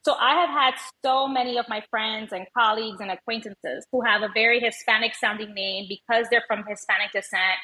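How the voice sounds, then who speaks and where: A woman's voice: a little high, a little fast, hardly any pauses, pushed and bright, low-quality recording. A woman from Venezuela who was raised in the United States, a native Spanish speaker, talking conversationally as a podcast guest and telling personal stories with quoted dialogue. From born in Venezuela, raised in the United States. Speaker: podcast guest, language educator and advocate. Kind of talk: conversational interview storytelling.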